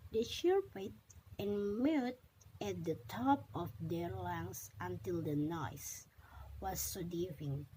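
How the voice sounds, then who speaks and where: Young woman reading a story out loud, slow n halting, mellow voice, bit of background noise. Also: medium pitch, poor audio. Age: young adult. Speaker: student reading a story aloud. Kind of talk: scripted storytelling narration, read aloud.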